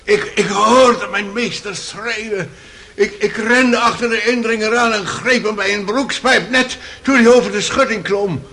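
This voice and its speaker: Shaken manservant, very high male voice, halting, stammering, radio drama, some background noise. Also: full character voice. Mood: agitated. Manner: halting, repeating words, excitable. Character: shaken, stammering male servant giving testimony. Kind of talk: radio play acting.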